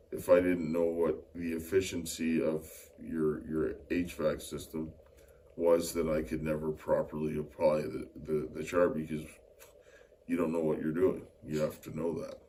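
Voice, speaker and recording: A man's voice: extremely low pitch, darkish tone. A middle-aged man from Winnipeg, explaining technical work as an interview guest with many hesitant fillers. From Winnipeg, Canada. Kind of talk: interview answers. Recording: distant mic with faint ambient noise.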